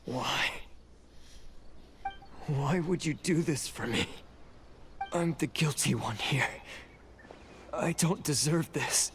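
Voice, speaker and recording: A man's voice: fairly high pitch, slow pace, long pauses, a bit bright, a little hiss or hum. An actor playing a guilt-ridden young male blue oni, anxious and pleading, in a video game. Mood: guilty. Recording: game audio with music behind.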